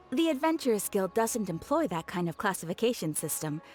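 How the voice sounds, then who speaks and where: A woman playing a polite, composed female guild receptionist who speaks in a measured, formal way. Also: mid-range voice, very animated, darkish tone, natural voice. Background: game music.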